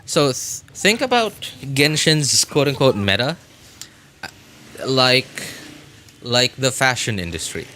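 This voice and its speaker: Bright-voiced man casually livestreaming a game. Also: medium pitch, lively intonation, slow pace, a little hiss or hum. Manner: rambling, repeats phrases while thinking aloud. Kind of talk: casual live gameplay commentary, chatting with viewers.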